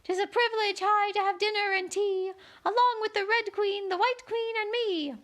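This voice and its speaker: A woman voicing a shrill singer of a grand royal verse in a storybook reading. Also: steady tone, normal speed, a bit bright. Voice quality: shrill, singing.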